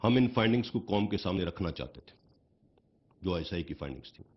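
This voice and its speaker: Very fast, lowish-voiced Pakistani official. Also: male voice, expressive. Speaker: military official. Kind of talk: formal press conference statement. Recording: dull clip with music underneath. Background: background music.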